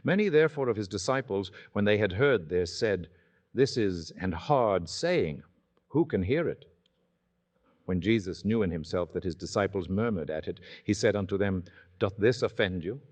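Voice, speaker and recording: A man's voice: lowish voice, animated, normal speed, darkish tone. A man reading scripture aloud in a solemn, declamatory manner, in the dramatic style of old-time radio. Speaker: scripture reader.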